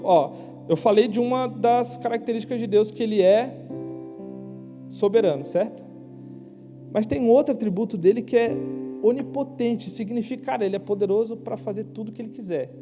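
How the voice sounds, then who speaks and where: A young man preaching a casual youth sermon in a church, slangy and conversational, asking the crowd quick questions. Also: high-pitched. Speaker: youth pastor. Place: church hall. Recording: live church hall with long reverb.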